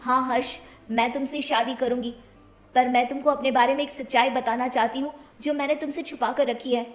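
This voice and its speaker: A woman's voice: sits high, steady tone, brisk, echoey room, faint music behind, natural voice. A woman playing a naive but defiant young transgender girl in a cartoon moral story, speaking earnestly and expressively. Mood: shy, earnest.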